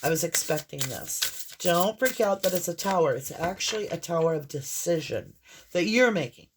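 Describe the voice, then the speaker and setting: A woman with a hoarse voice and a mixed Southern and Northern American accent, giving a casual, rambling tarot reading straight to the viewer. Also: fairly low pitch, lively intonation, moderate pace, background noise. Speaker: tarot reader.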